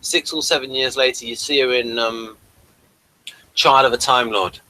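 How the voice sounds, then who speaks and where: Bright-voiced man rambling through casual commentary. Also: medium pitch, fairly even intonation, rough recording. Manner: rambling, halting, trailing off mid-sentence. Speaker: co-host of an episode review. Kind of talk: casual running commentary on a TV episode.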